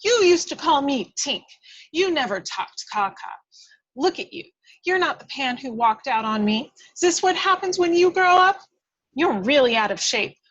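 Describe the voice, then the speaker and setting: A woman's voice: sits high, lively intonation, moderate pace, a bit bright, dull audio, voice pushed up. A woman playing a tiny, feisty, bossy fairy with a scornful, theatrical delivery in a script read-through. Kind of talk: hobby table read acting.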